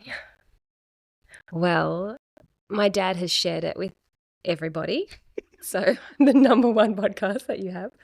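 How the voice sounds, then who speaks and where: A middle-aged woman, talking casually and reflectively as a podcast guest, pausing often. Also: medium pitch, gravelly, no echo, studio quality. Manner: soft-spoken, reflective, with many pauses and fillers. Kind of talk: casual chat.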